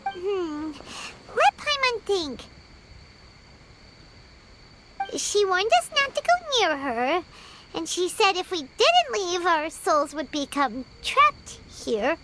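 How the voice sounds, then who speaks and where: A woman voicing a small, childlike, excitable and timid fairy companion in a video game, with a squeaky voice. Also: takes it slowly, very noisy, exaggerated voice. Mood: uneasy recounting. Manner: refers to self in third person, exclamatory. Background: background music.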